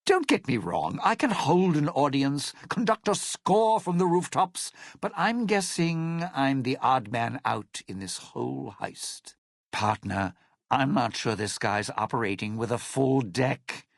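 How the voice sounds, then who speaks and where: A man playing a jaded, self-regarding old lounge singer and cynical schemer in a video game, with a gravelly, husky voice and a wry, theatrical, slangy drawl. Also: medium pitch, very animated, measured pace, dry recording, clean studio sound, full character voice. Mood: boastful, skeptical. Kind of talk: video game character dialogue.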